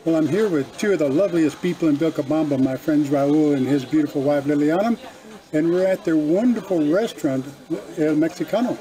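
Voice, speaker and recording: Enthusiastic male interviewer with a mellow, slightly raised voice. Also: a little high, fairly quick, poor audio. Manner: upbeat and enthusiastic. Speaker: interviewer and host. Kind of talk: casual interview.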